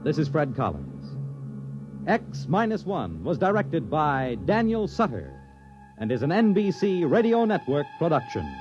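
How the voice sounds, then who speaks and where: A man's voice: fairly high pitch, wildly swooping pitch, measured pace, dull audio. A man announcing on a 1950s network radio program in a formal, emphatic delivery. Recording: old radio broadcast with music.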